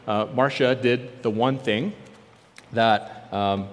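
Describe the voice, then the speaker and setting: A man's voice: medium pitch, slow. A man preaching through personal testimony, telling his story earnestly and acting out remembered conversations. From born in South Korea. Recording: long hall reverb.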